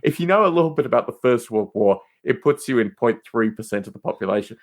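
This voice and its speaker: A man's voice: mid-range voice, expressive, quick pace. A man with a mid-range voice chats as a guest on a podcast. He talks in a loose, digressive way, stressing his points emphatically and slipping into mild exasperation. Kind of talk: casual, rambling podcast banter.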